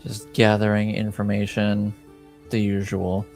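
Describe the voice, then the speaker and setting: A man plays a laconic tavern informant in a tabletop roleplaying game. The voice is fairly low, guarded yet easygoing and understated, with music playing behind it. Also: monotone, unhurried, a little mellow. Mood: guarded, dry.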